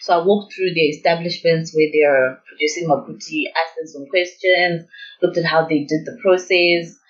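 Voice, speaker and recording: A woman's voice: low voice, steady tone, moderate pace. A woman, explaining her research as an interview guest. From Zimbabwe. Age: 20s. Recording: dull lo-fi audio.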